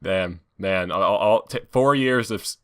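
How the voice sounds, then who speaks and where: A man's voice: deep voice, unhurried, fairly bright tone, no echo, studio quality. A man co-hosting a podcast with offhand, wisecracking commentary in casual banter. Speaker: podcast co-host.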